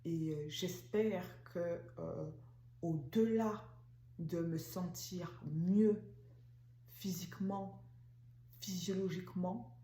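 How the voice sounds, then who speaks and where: Slow, hesitant woman in her forties. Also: on the low side, mellow, echoey room, slight background noise. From France. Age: 40s. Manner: slow and halting, many pauses and fillers. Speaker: vlogger, massage therapist. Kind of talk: casual personal vlog talk.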